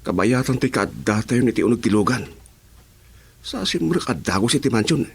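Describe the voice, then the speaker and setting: A man's voice: mid-range voice, animated, a little fast, creaky, a little hiss or hum. A man acting a brash, sly, teasing henchman in an Ilocano radio drama.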